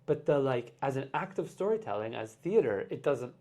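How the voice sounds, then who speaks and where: A man's voice: mid-range voice, big pitch swings, moderate pace, dark tone. Reflective man chatting as a podcast guest. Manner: reflective, explains with many "like" fillers. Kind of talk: casual conversation.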